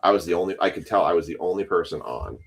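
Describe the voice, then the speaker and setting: Low-voiced man chatting while opening packs. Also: fast. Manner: rambling, chatty, talks to few viewers. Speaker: card break streamer. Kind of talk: casual live-stream chat while opening packs.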